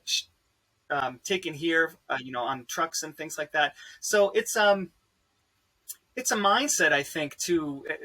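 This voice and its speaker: A man's voice: on the high side, bright, forceful tone, poor audio. A man speaking as a musician on a discussion panel, reflecting earnestly in a musing, thoughtful way.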